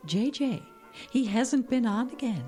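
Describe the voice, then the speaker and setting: A woman's voice: on the high side, animated, a little slow, mellow, faint music behind. A woman playing a prim, proper and concerned radio station colleague in an audio drama, speaking formally and earnestly. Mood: reproving.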